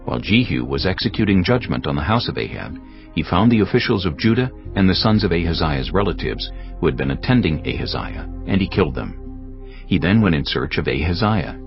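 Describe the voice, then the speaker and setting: A man narrating scripture in a measured, solemn reading. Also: a bass voice, vocal fry, dull audio.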